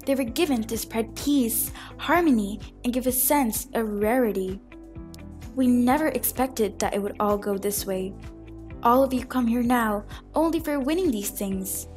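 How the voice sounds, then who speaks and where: A woman's voice: on the high side, measured pace, a little mellow, soft background music, lightly acted. A woman voicing an earnest, grieving fountain goddess who speaks in dramatic, pleading speeches in an amateur fan film. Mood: solemn, wistful.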